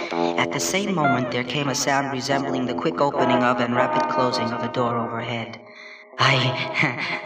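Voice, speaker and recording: A man giving a dramatic reading of a horror story, with a deliberate, anguished, theatrical delivery. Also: medium pitch. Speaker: story narrator. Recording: roomy, distant vintage recording with music. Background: prominent music.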